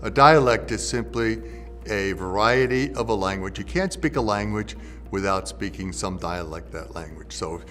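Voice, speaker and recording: A low-voiced man speaks as a linguist, measured and explanatory. His voice rings with a long reverb, and soft music plays underneath. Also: lively intonation. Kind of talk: interview explanation.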